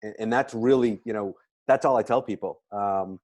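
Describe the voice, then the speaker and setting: A man's voice: lowish voice, moderate pace, a little mellow, no echo. A man speaking casually and emphatically as he promotes a product, repeating phrases to drive points home.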